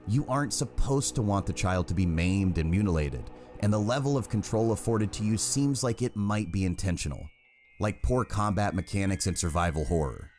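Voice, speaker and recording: A man reading a scripted video game review as narrator, in a measured, articulate way with wry asides. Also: deep voice, a little mellow.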